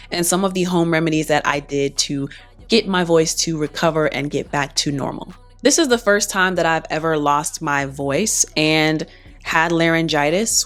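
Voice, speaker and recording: A young adult woman and singer chatting casually to camera in a soft-spoken, reflective way while recovering from laryngitis. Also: lowish voice. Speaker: singer and vlogger. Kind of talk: casual talking-to-camera vlog.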